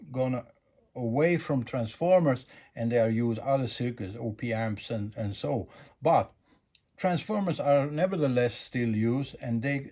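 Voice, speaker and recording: A man with an average-pitched voice explains audio equipment in an informal, unscripted way. He is a non-native English speaker and talks slowly, pausing often as he searches for the next phrase. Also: a little mellow. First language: not English. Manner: halting, unscripted, with long hesitations.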